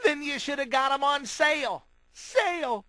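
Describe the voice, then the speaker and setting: A man's voice: very high-pitched, animated, a little slow, bright, forceful tone, no room sound, clean studio sound, exaggerated voice. An actor playing a goofy, cowardly young male slacker in a cartoon game, jittery and jokey, with a cracking nasal voice. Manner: jittery, jokey, says "like" constantly.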